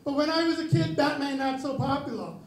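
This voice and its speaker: Raspy male stand-up, extremely high voice, self-deprecating deadpan, roomy club with a laughing crowd. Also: brisk. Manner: self-mocking deadpan delivery. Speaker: stand-up comedian. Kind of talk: stand-up comedy set. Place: comedy club stage. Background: audience laughter.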